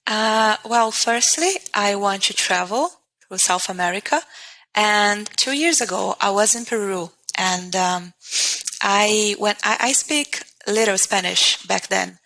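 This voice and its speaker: Bright Portuguese-accented woman teacher. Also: mid-range voice, unhurried, low-quality recording. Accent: Portuguese-speaking. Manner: earnest, thinks aloud with many restarts. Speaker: guest, language teacher. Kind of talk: casual interview.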